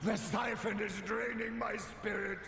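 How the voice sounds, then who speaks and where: A man playing a tormented, weary angel warrior in a fantasy game, speaking in halting, formal, theatrical phrases. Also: high voice, fairly even intonation, creaky, sharp tone, full character voice. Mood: desperate, pleading. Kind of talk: dramatic fantasy game dialogue. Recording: echoey reverberant, noisy ambience behind.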